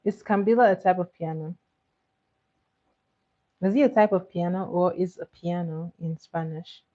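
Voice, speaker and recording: Chatty woman, low voice. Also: steady tone, normal speed, dark tone, muffled recording. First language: Igbo. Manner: chatty, giggly, repeats words. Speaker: livestream host. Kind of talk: casual chat with viewers.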